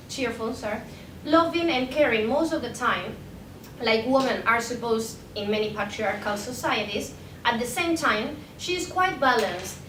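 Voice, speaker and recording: High-voiced young woman, echoey room. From Spain. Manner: reading from a prepared text with occasional stumbles. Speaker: academic presenter, PhD candidate. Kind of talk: conference paper read aloud. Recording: echoey room, distant mic, faint rumbling noise.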